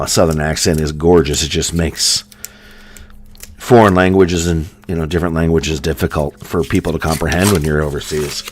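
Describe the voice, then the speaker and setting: An American man chatting casually and at length with a live audience as a streamer, with a General American accent and lots of ums and likes. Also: rumbling low. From United States. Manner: rambling, filler-heavy, with many ums and likes. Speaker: coin hunting streamer.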